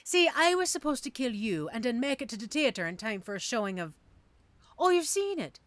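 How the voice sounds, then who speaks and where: Female mercenary assassin for fantasy audio drama, acted by a woman: wry, teasing, street-hardened, very animated pitch, close dry recording. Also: fairly quick, no room sound. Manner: teasing, theatrical, sardonic. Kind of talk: audio roleplay drama acting.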